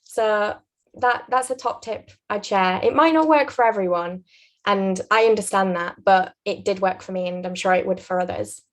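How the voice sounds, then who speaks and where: A young woman with a Yorkshire accent giving earnest, measured advice as an interview guest. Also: mid-range voice. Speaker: guest, aspiring barrister.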